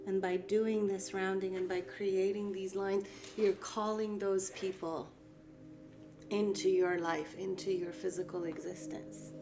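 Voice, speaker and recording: Calm woman teaching over soft music. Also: mid-range voice, measured pace. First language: Farsi. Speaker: art therapy instructor. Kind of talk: guided art lesson talk.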